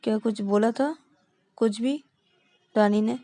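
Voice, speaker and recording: A woman's voice: fairly high pitch, steady tone, slow, dark tone, dry recording. A woman voicing a worried, pressing young man. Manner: pressing, repeated worried questions.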